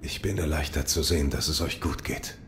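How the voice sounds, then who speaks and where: An actor playing a stoic, loyal shinobi warrior in an audio drama, terse and solemn. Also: male voice, very deep voice, fairly quick, noisy background. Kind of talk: German audio drama acting.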